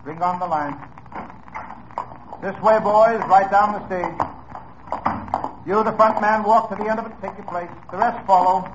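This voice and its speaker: An actor playing a stern police sergeant addressing a lineup audience in a 1950s radio drama, formal and commanding. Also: male voice, high voice, fairly even intonation, moderate pace, pauses often, background noise, close to an everyday voice. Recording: dull lo-fi old radio broadcast.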